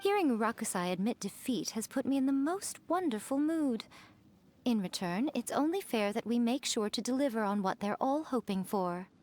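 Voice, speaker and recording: A woman's voice: fairly high pitch, animated, normal speed, darkish tone, natural voice. A woman voicing a sly, elegant fox shrine priestess in a video game, playful, teasing and self-assured. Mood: teasing, pleased. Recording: music behind the voice.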